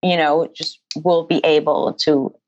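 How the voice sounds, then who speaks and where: A woman's voice: deep voice, flat delivery, measured pace, a little mellow, no room sound, muffled recording. A young woman from Israel in her twenties, a guest answering interview questions earnestly with frequent fillers. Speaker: guest, incoming medical student.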